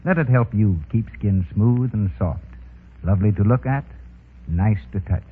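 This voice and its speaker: A man's voice: fairly low pitch, normal speed, slightly rough. A man reading a scripted sponsor advertisement as a 1930s radio announcer, with practiced sales patter. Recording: tinny phone-like 1930s radio recording.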